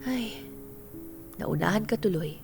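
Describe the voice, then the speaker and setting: A woman's voice: medium pitch, expressive, unhurried, creaky, over music. A woman playing an outspoken, caring middle-aged auntie in a Filipino radio drama, with a blunt, nagging manner. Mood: concerned, nagging.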